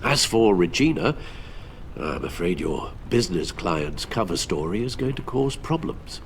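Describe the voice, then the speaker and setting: A man's voice: low voice, very animated, unhurried, a little hiss or hum, heavily acted. An actor playing a loyal, anxious older British butler with a formal, fatherly manner in a video game. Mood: formal, concerned.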